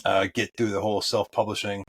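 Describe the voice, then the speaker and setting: A man with a thick Boston accent, a podcast guest speaking in a relaxed way with frequent fillers and small chuckles. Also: fairly low pitch, brisk, a little hiss or hum. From New England. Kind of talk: podcast interview.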